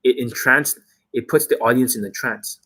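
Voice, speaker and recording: A man's voice: medium pitch, moderate pace, bright, forceful tone, low-quality recording. A young man and dating coach delivering a blunt, swearing monologue full of rhetorical questions to his viewers. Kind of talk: ranting monologue to camera.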